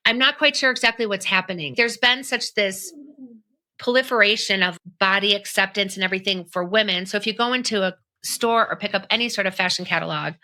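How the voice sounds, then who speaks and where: Bright, effusive woman hosting a podcast. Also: medium pitch, brisk. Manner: effusive, laughs easily, trails off mid-thought. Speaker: podcast host. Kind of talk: enthusiastic podcast interviewing.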